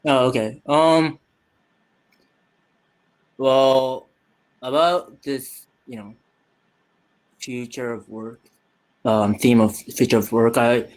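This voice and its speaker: A man's voice: medium pitch, flat delivery, slow, long pauses, low-quality recording. A young man from Japan speaking on a video call, groping for words with many fillers and restarts. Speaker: youth participant. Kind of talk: casual video call chat.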